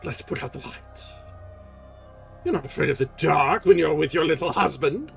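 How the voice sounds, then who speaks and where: A man voicing a furious, menacing monster of a man in a loud, snarling voice for a storybook reading. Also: fairly high pitch, moderate pace, creaky, faint music behind, pitched above the performer's own voice. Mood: gloating. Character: furious menacing male monster, jealous captor.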